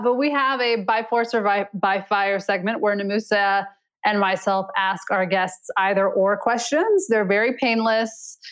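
A woman's voice: mid-range voice, rough recording. A woman co-hosting a podcast, talking in an upbeat, playful way and laughing between lines as she explains a game segment. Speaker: podcast co-host.

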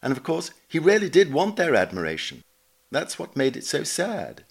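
A man's voice: fairly high pitch, huge pitch swings, normal speed, creaky, breathy edge. A man reading written notes aloud as a scripted narrator, dramatising the recollections as he goes.

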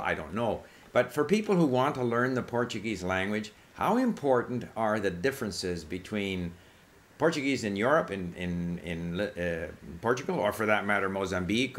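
A male interview host with a fairly low voice. He asks long, considered questions in an easy conversational way and stops to check himself as he goes. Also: a bit bright. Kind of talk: casual interview chat.

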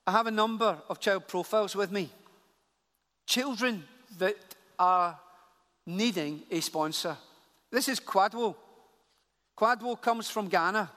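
A man with a high voice leads a church service, earnest and repeating phrases for emphasis. His words ring out with the long reverb of a church hall. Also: a little slow, pauses often, pushed and bright. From Glasgow, Scotland. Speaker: church leader. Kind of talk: church appeal from the pulpit.

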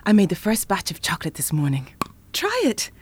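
An expressive, teasing woman chocolatier in an audio drama. Her mid-pitched voice swings widely, playful and exuberant with a flirty edge. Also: fairly quick, slightly rough. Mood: excited, proud.

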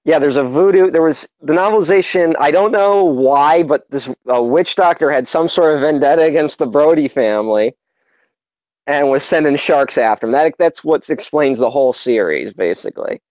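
A man's voice: fairly high pitch, normal speed, a little mellow, dry recording. Man, podcast co-host, laid-back, rambling, dry room, muffled sound. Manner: laid-back, lots of "like", laughs easily, swears. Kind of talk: casual movie podcast chat.

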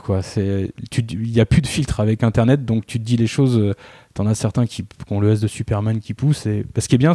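A man's voice: lowish voice, mellow, no echo. A man in his thirties, chatting casually and telling a self-mocking story. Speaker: guest. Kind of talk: casual podcast conversation.

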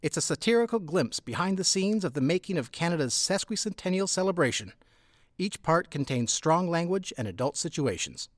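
A man reading a measured, formal content disclaimer. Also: on the high side, sing-song. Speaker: announcer.

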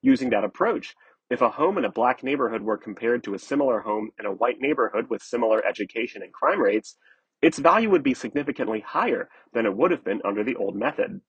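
A man narrating a scripted news article in a measured, explanatory way. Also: medium pitch, animated, slightly rough, no echo, dull audio.

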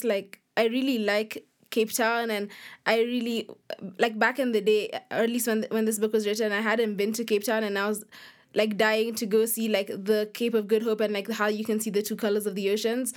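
A woman's voice: a little high, fairly even intonation, a little fast. A young woman of about twenty answers interview questions casually, often dropping "like" into her sentences. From Nairobi, Kenya. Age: young adult, about 20. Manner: informal, peppers speech with "like". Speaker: guest author.

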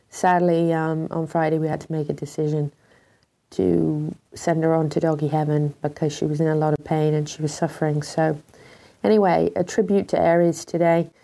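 A woman with a British accent hosting a horse talk show, her voice a little froggy and congested, talking with many pauses and ums. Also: low-pitched, warm tone. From Britain. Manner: hesitant, many ums, heartfelt. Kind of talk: casual talk show monologue.

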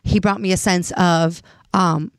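A woman's voice: fairly low pitch, steady tone, measured pace, clean tone, no echo, studio quality. A woman in her late twenties co-hosting a casual podcast chat, earnest and often stopping to find her words. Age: 20s. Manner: earnest, searching for words, many restarts. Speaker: podcast co-host.

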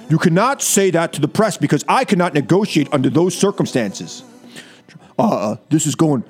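A man playing a bombastic, boastful American president in a comedic reading, halting and repeating himself. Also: fairly high pitch, slightly rough. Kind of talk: comedic dramatic reading over music.

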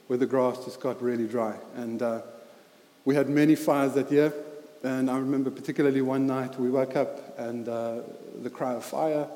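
A man's voice: mid-range voice, mellow. South African man preaching in echoey hall. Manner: halting, many fillers and restarts, earnest. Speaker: lay preacher. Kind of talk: sermon with personal story. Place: church hall. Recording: echoey hall with long reverb.

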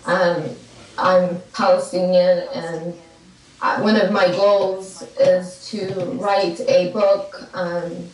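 A woman from Kentucky earnestly introducing herself on a video call, pausing often with "um.". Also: lowish voice, level tone, darkish tone, reverberant, recorded from a distance, low-quality recording. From Kentucky, USA. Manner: earnest, many "um" pauses. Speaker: caller.

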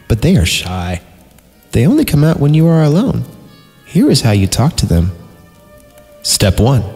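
Low-voiced sarcastic man in long reverb. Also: darkish tone. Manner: sarcastic, breaking off from narration into giggly asides. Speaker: podcast host reading creepypasta. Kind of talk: mocking dramatic story reading with comic asides. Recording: reverberant voice over background music. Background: music.

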